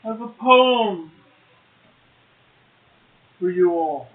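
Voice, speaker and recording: A man's voice: high-pitched, lively intonation, very slow. A man co-hosting a poetry show, reciting verse and adding brief casual remarks. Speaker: poetry show co-host and poet. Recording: roomy echo, narrow phone-like sound.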